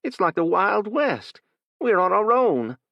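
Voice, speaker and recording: A man voicing a frightened but angry older farm woman, pitched far above his own voice. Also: sing-song, unhurried, creaky, no echo, highs cut off, full character voice. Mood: angry, bitter.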